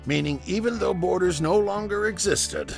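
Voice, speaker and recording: A man playing a wry, foul-mouthed former butcher and rebel, telling his story conversationally and theatrically in an audio drama, with a low, gravelly voice. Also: normal speed, faint music behind. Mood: sardonic, amused.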